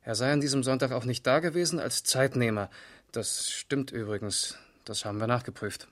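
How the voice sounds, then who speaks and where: A man's voice: mid-range voice, normal speed, fairly bright tone, lightly acted. An actor playing a matter-of-fact, precise male police investigator in a radio crime drama. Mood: sober, reporting. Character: sober, methodical male East German police investigator. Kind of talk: 1970s German radio crime drama acting.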